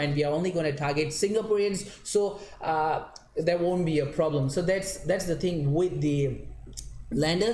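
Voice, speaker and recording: A man's voice: a little high, a little mellow. A young man from Malaysia giving a casual screen-share tutorial, talking viewers through each click and often repeating himself. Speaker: online marketing tutor. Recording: echoey room with faint background hiss.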